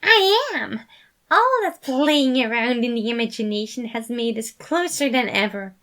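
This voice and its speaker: A woman voicing a gleeful, mischievous man in a fan fiction reading, with an excitable, giggly, gushing delivery. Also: on the high side, a little slow, voice pushed up. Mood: gleeful, eager. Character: gleeful, manic, mischievous man, the dark creative side.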